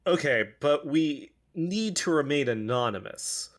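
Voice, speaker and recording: An earnest amateur investigator played by a woman in an audio drama podcast. The voice moves up and down in pitch as she politely probes with her questions. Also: expressive, unhurried. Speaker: podcast interviewer. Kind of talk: audio drama acting as interview.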